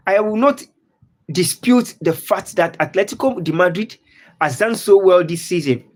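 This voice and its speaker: High-voiced Ghanaian-born sports streamer. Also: male voice, animated, moderate pace. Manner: repetitive, earnest, reads out viewer comments. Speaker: live stream host. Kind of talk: casual football talk to live viewers. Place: lives in Dubai.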